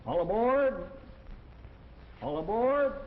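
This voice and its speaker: A man playing a train conductor calling out loudly to passengers on a muffled old film soundtrack. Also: sits high, sing-song, slow pace, exaggerated voice.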